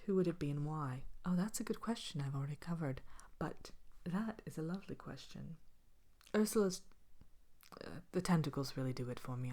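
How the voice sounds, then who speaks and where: A wicked, vain fairy-tale queen played by a woman speaks in a low, hushed voice that often slips into a whisper. She is haughty and languid, with dry wickedness, hosting an ASMR question-and-answer monologue. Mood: vain, amused. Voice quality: soft-spoken, often breaking into whisper. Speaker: character host of an ASMR show.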